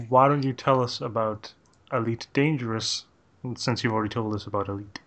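A man's voice: medium pitch, normal speed, a bit bright. A man hosting a casual gaming podcast, reading facts aloud with jokey asides. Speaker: podcast host.

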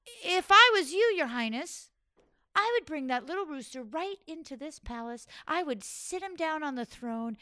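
A woman voicing a sly, flattering male palace servant. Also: high voice, normal speed, no room sound, studio quality, voice pushed up. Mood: scheming.

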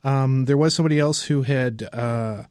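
Halting man hosting a podcast, dry sound. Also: mid-range voice, a little mellow, dry recording. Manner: halting, self-correcting, rambling. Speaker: podcast host. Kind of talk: casual conversational interview.

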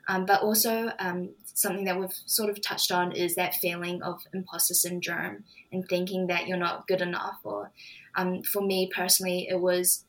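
A young woman student talks through her thoughts in a slow, considered way, often pausing on ums. Her voice sits a little low and stays level, with little rise and fall. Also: a bit bright, rough recording. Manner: thoughtful, unhurried, frequent ums. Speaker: law student guest. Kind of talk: reflective podcast conversation.

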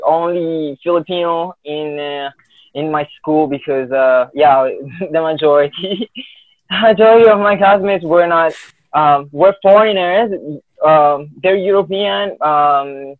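A man's voice: on the high side, no room sound. Man on a tinny line, hesitant. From Italy. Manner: hesitant, many restarts and fillers. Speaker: guest. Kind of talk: casual conversation.

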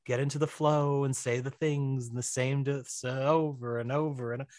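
A man chatting as an interview guest, wry and self-deprecating, often halting as he explains things. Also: mid-range voice, fairly even intonation, darkish tone, no echo, studio quality. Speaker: podcast guest, writer and director. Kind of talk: casual conversational interview.

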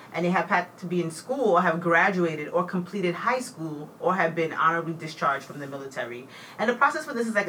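A woman co-hosting a casual chat, reading out facts and figures in a matter-of-fact, steady way. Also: lowish voice, slight background noise. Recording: distant mic with faint room ambience.

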